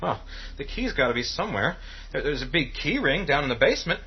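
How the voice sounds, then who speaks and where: A man's voice: on the high side, big pitch swings, brisk, husky, dull audio, full character voice. An actor playing a nervous, helpful young man in a horror radio drama, hesitant but eager.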